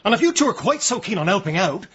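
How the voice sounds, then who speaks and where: A man's voice: sits high, big pitch swings, fast talker, fairly bright tone, husky, heavily acted. An actor playing an officious, exasperated policeman in a radio drama, sarcastic and clipped. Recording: dull, hissy radio drama recording.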